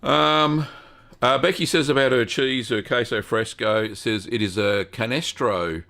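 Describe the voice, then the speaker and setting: A man's voice: medium pitch, measured pace, creaky, bright, forceful tone. An older man hosting a live-stream Q&A, talking in a rambling, friendly way with lots of ums. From Australia. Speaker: host answering cheese-making questions.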